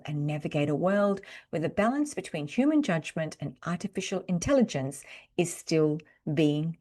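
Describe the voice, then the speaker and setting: A woman's voice: fairly low pitch, darkish tone. A woman hosting an interview podcast, introducing and questioning a guest. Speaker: podcast host.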